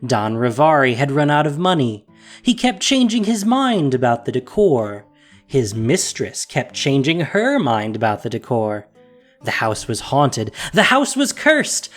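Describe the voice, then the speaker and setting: A narrator reading a fantasy story in a measured, wry manner. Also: medium pitch, very animated, soft background music.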